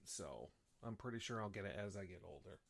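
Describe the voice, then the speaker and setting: A man's voice: sits low, expressive, a little fast, gravelly. A man hosting a casual live show, rambling with many fillers and restarts, sniffly with a slight cough. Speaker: host.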